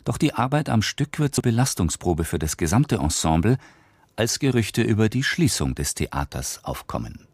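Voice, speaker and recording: Measured male radio announcer. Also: on the low side, sing-song, a little mellow, slightly rough, no echo. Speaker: programme announcer. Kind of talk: scripted radio introduction.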